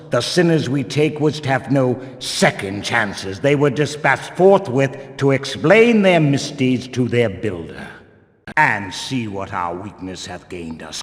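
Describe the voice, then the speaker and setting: An actor playing a stern, zealous religious warrior guard in a fantasy video game, speaking in pious, archaic, declamatory phrases. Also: male voice, medium pitch. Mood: grave, disapproving. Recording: long reverberant hall echo.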